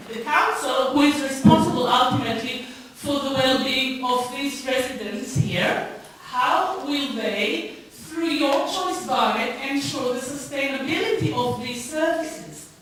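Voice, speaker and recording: A woman with a high voice speaks from far off in a very echoey hall. She questions a committee slowly and haltingly, with a pointed, challenging manner. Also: a bit bright, slight background noise. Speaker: member of the public questioning a council committee. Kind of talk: public question at a formal meeting. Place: large town hall meeting room.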